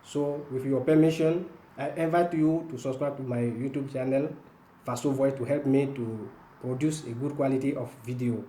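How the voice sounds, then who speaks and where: An Ivorian-accented man, native in Woloduka, hosts a language lesson in a soft, dark-toned voice. He explains deliberately and repeats his key points. The room around him adds clear echo. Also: medium pitch, steady tone, a little hiss or hum. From northwestern Ivory Coast. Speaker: language teacher, video host. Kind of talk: informal language lesson to camera. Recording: roomy, echoing room sound.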